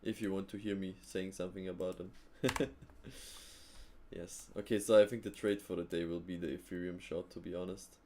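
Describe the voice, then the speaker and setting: A man with a fairly low voice hosts a live trading stream in a loose, chatty way. He thinks aloud, drops fillers, and chuckles easily while answering his viewers. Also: slight background noise. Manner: relaxed, thinking aloud, frequent fillers and quick laughs.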